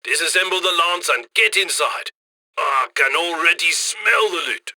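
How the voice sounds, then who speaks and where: A cheery crook handler for a heist game, high-ish sharp voice, super chummy and cheeky, bossing the crew around. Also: male voice, expressive, normal speed, no echo. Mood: gleeful, praising. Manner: chummy, cheeky, colloquial, gleeful about loot. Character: cheerful roguish male heist handler guiding a robbery crew. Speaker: mission handler. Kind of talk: video game mission voice lines.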